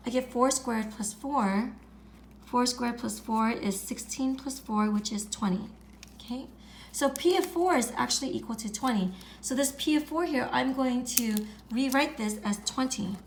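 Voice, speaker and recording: A woman with a raspy voice from being sick, patiently explaining a math lesson step by step and clearing her throat now and then. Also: on the high side, slight background noise. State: raspy from illness. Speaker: math teacher. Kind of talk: screen-recorded math lesson.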